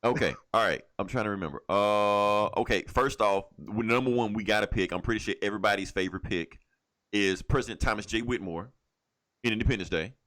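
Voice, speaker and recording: A man's voice: fairly low pitch, fairly quick, a bit bright. A man co-hosting a podcast in casual, opinionated fan banter with plenty of swearing. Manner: profane, opinionated fan talk. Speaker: podcast co-host. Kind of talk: casual pop-culture banter.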